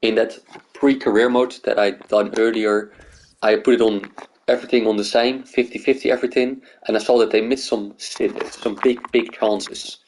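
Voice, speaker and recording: A man's voice: fairly low pitch, fairly even intonation, normal speed, darkish tone, a little hiss or hum, low-quality recording. A man from the Netherlands and Greece, hosting a gaming video and thinking aloud in loose, rambling casual commentary.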